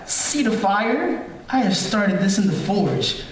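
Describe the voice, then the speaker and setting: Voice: mid-range voice, expressive, slow, slight background noise. A stage performer playing a scheming, vengeful murderer in an old-time radio drama, theatrical and gloating, in an echoey theater. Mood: gloating menace. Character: scheming vengeful murderer, gleefully sadistic villain with poor eyesight. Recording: echoey theater, distant mic.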